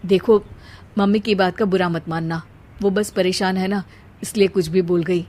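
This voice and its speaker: A man's voice: high voice, fairly even intonation, moderate pace, darkish tone, lightly acted. A man voicing a caring, protective young husband in a family cartoon, earnest and reassuring. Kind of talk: Hindi family cartoon dubbing.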